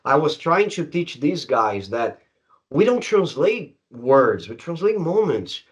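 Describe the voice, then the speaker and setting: A man's voice: fairly high pitch, very animated, slow, dull audio. A middle-aged man from Brazil, a native Portuguese speaker, hosting a podcast in English with an emphatic, storytelling way of talking. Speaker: podcast host; English teacher. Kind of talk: conversational storytelling and interviewing.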